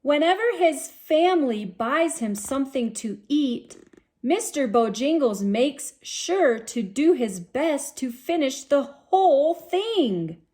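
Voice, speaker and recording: A woman reading a storybook aloud to young children, expressive and sing-song, speaking directly to her listeners. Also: sits high, slow.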